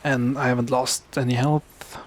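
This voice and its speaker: Norwegian man, halting game commentary. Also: mid-range voice, level tone. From Norway. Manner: halting, self-correcting, mutters asides under his breath. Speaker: gaming commentator. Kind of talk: casual gameplay commentary.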